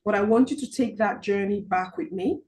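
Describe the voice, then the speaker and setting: Woman money coach, video-call sound, hesitant and filler-heavy, gentle when guiding a breathing exercise. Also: medium pitch. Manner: halting, many filler ums and restarts; soft, slow guided-relaxation instructions. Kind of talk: online group coaching session with guided visualization.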